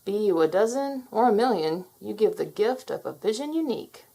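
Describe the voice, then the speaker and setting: A woman voicing a patient, wry male magic dragon guide in a children's storybook, theatrical and gently teasing. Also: mid-range voice, lively intonation, a little fast, gravelly, pitched above the performer's own voice. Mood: gentle, encouraging. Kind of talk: children's storybook character read aloud.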